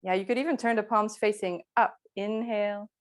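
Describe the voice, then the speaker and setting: Female yoga instructor, mid-pitched, calm and unhurried, gently cueing breath and movement. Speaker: yoga teacher.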